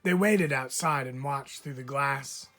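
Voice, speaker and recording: A man, a teacher, reading a children's picture book aloud with lively, expressive storytelling. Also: mid-range voice, measured pace, background noise.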